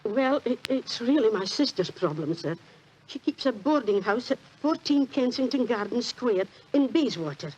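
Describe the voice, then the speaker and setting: An actress playing a humble, kindly old British landlady, husky, deferential and hesitant, in 1940s radio drama style. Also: on the high side, animated, moderate pace, darkish tone, slight background noise, natural voice. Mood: anxious, earnest. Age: older. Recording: dull 1940s radio recording.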